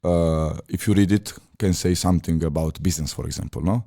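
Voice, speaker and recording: A man's voice: low voice, steady tone, warm tone. A man in his thirties with an Italian accent, a guest on a podcast, speaking English as a second language with many fillers and restarts. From Italy. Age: 30s. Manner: halting non-native phrasing with many fillers and restarts. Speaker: podcast guest, former chef. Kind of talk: casual interview talk.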